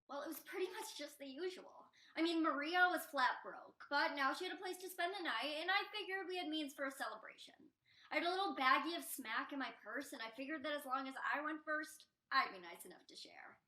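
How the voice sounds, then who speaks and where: A young woman acts out a defiant, chatty female addict in a stage play. Her voice is high and bright, rambling along in casual, evasive storytelling. The room sounds echoey around her. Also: a little fast. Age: young adult. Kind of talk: student one-act stage play acting. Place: indoor stage.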